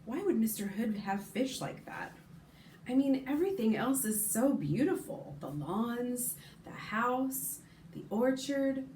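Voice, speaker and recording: A woman's voice: a little high, expressive, slow pace, a little mellow, reverberant, slight background noise, pitched above the performer's own voice. A woman voicing an eager, curious young boy in a read-aloud storybook, asking earnest questions.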